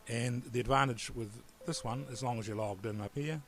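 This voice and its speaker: A man with a New Zealand accent giving a relaxed, rambling screen-recorded computer tutorial. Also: mid-range voice, brisk, a little hiss or hum. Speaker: tutorial presenter.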